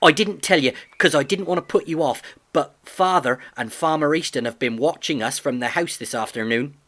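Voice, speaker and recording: A man's voice: on the high side, normal speed, voice pushed up. A man voicing an eager teenage farm boy. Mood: proud. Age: 15 (figure). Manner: warm, earnest, boyish enthusiasm. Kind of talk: audiobook character voice.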